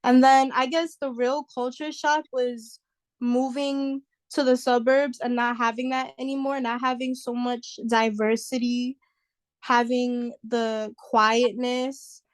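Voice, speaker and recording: A woman's voice: on the high side, little pitch movement, slow pace. A young woman from New York, a singer, talking casually and reflectively as an interview guest.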